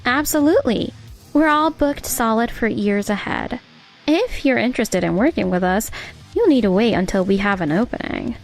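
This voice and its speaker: A woman's voice: fairly high pitch, expressive, normal speed, gravelly, soft background music. A woman voicing a confident young office colleague. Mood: assured.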